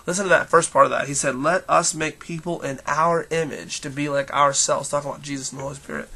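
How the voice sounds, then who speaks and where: A man talks to his viewers in a casual, encouraging devotional vlog, his voice hoarse and gravelly. It sits a little high and bright. He keeps restarting and filling gaps as he goes. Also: fairly even intonation, fast. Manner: earnest, encouraging, with many restarts and fillers. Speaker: church leader giving a daily video devotional.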